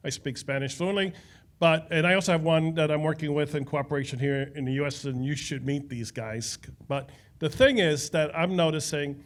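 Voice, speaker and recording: An older man speaking up from the audience at a panel, rambling and halting with repeated words. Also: a little high. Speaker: audience member.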